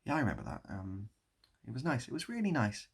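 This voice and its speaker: A man talking casually to camera in a rambling, self-deprecating way with deadpan whimsy. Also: mid-range voice, fast, breathy edge. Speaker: video creator and host. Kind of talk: casual improvised monologue to camera.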